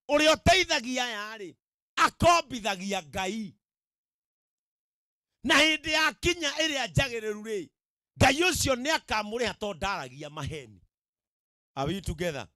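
High-pitched Kenyan man preaching. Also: bright, forceful tone, no echo. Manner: emphatic, repetitive, checks in with the audience. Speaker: pastor. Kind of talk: sermon to a live gathering. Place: outdoor tented event. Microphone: handheld microphone.

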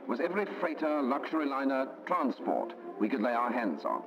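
A man's voice: medium pitch, sing-song, a little fast. A man narrating a 1940s wartime newsreel in an emphatic, declamatory way. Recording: dull old newsreel soundtrack with music.

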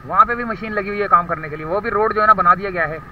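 Man, Karachi Urdu accent, high voice, motorcycle vlog, rambling commentary, distant dull helmet-cam sound, faint traffic. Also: racing. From Pakistan. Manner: rambling, searches for words while riding. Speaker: motorcycle vlogger. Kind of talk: casual ride-along commentary. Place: outdoors on a motorcycle, muddy city street. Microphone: helmet camera.

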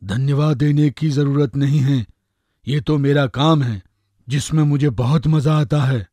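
Cartoon magic eggplant, played by a man: friendly helper, mid-pitched, chummy and reassuring, close dry recording. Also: fairly even intonation, unhurried, warm tone, dry recording, close to an everyday voice. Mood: chummy, confident. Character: friendly, confident talking magic eggplant, eager to help. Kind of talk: animated moral story voice acting.

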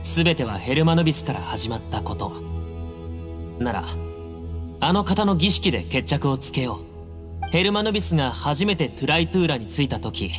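A guarded young temple heir in an anime game. The voice sits fairly high for a man and moves expressively, yet stays composed and firm. It rings in a long hall over music. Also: very animated, moderate pace. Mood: solemn. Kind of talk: Japanese anime game voice acting. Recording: reverberant hall, music underneath.

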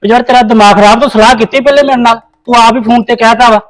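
A man's voice: very high voice, fairly even intonation, racing. A man playing an impatient young husband in a family skit, brushing off his wife with annoyed retorts. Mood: annoyed, dismissive. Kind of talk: scripted family comedy skit.

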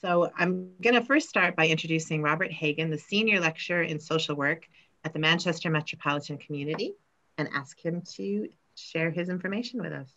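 A woman's voice: deep voice, fairly quick, a bit bright. A middle-aged woman hosting a virtual conference session over a video call, friendly and welcoming with frequent fillers. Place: home room, indoors. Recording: muffled video call audio.

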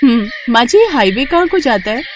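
Young daughter-in-law played by a woman for a cartoon tale: high, respectful, gently inquisitive. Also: big pitch swings, fairly quick, slight background noise. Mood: curious. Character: polite, curious young daughter-in-law in a village.

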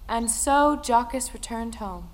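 A woman's voice: a little high, expressive, slow pace. An actress playing a female storyteller, giving dramatic narration of an old folktale. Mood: storytelling. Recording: music behind the voice. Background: music.

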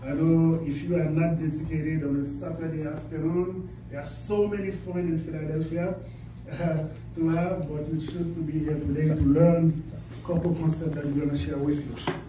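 A man's voice: a little high, little pitch movement, moderate pace, dark tone, slight background noise. Man from Haiti giving a business seminar. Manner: emphatic, asks the audience questions. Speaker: seminar speaker. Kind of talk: motivational business presentation. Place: carpeted indoor meeting room. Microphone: far camera, no visible mic. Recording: echoey room, camera far off.

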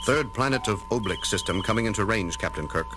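An actor playing a logical half-alien science officer, formal and precise, in a 1970s audio drama. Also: male voice, fairly low pitch, expressive, quick pace, close to an everyday voice. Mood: measured. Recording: loud music behind the voice.

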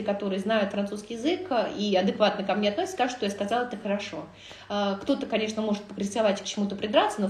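A woman in her thirties, a French teacher, giving a casual livestream lesson from her living room, chatty and lively with many filler sounds, speaking into a phone held at arm's length. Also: mid-range voice. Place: living room at home, indoors. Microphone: phone camera at arm's length. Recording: roomy echo.

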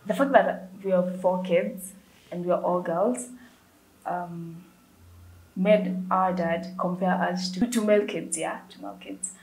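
A woman's voice: lowish voice, measured pace, a little mellow, rough recording. A young adult woman with an East African accent, giving a personal account in an interview. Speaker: artist. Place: indoor painting studio room. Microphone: lapel.

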